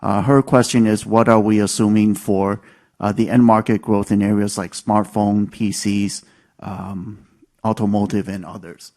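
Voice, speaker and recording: Male investor relations moderator, lower register, orderly and explanatory as he restates questions. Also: level tone, measured pace, a little mellow.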